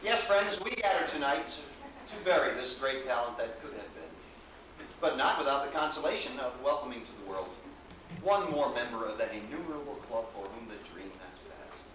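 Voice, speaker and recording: A man's voice: medium pitch, animated, normal speed, natural voice. Echoey, pompous, mocking orator, played by a man. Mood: mock-solemn, scornful. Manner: grandiloquent, declamatory. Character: pompous, florid, mocking orator giving a toast. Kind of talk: theatrical monologue. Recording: very echoey hall from far off, faint noise.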